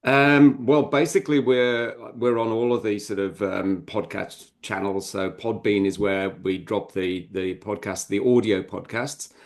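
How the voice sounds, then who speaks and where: Male author guest, fairly low voice, loose rambling chat, hesitant and self-deprecating. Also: fairly even intonation, measured pace, hardly any pauses.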